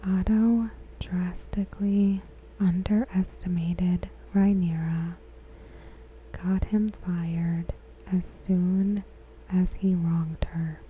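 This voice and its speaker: A woman whispering softly and breathily in an ASMR style, giving commentary with gentle, deliberate phrasing. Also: fairly low pitch, steady tone, a little hiss or hum, poor audio. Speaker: ASMR creator.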